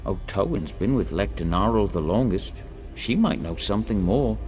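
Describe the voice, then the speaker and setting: A man's voice: fairly low pitch, expressive, normal speed, darkish tone, faint music behind, lightly acted. A man voicing a hapless, peevish apprentice gardener in fantasy video game dialogue, given to muttered asides. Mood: annoyed.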